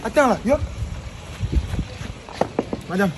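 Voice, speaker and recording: A man's voice: high-pitched, animated, takes it slowly, noisy background. A young man in a comedy sketch, offering a deal in a casual, salesy way, outdoors on a street.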